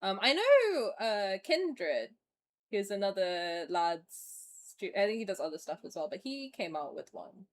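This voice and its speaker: A woman's voice: mid-range voice, big pitch swings, measured pace. A woman in her twenties streaming a game, chatting casually and animatedly with viewers, sometimes swearing and reading game text aloud. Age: 20s. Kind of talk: casual live-stream gameplay chat.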